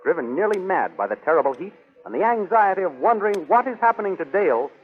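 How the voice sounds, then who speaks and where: A man's voice: high voice, very animated, quick pace, slightly rough. A man narrating a 1930s radio serial with grandiose, urgent declamation. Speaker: announcer-narrator. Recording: tinny 1930s radio recording. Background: music.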